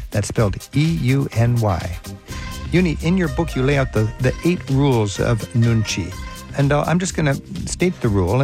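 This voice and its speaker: A man's voice: medium pitch, vocal fry. A man hosting a radio travel show, interviewing a guest in a casual, curious way and reading out topics one by one.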